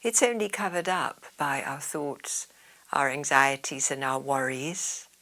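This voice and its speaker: A woman yoga teacher leading a guided meditation in a soft, soothing, half-whispered way. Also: rumbling low, animated, a little slow.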